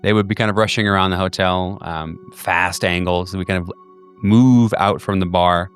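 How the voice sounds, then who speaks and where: A man narrating as game master of a tabletop horror game, talking in a halting way with many restarts. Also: low voice, fairly even intonation, clean tone, faint music behind.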